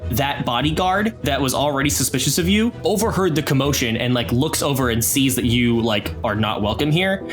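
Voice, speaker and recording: A male game master with a medium-pitched voice talks players through a tabletop game. He is conversational and explanatory, and music plays clearly behind him. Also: expressive, brisk, few pauses.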